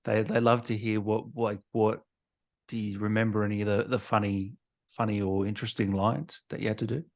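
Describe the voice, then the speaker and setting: A man's voice: on the low side, little pitch movement. Chummy man hosting a casual interview. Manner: informal, chummy, quick to agree. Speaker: host, interviewer.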